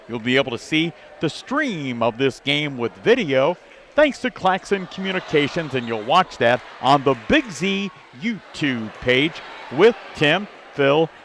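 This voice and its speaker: Higher-pitched man hosting a sports broadcast, noisy gym. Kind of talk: halftime report commentary with sponsor reads. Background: basketball gym ambience.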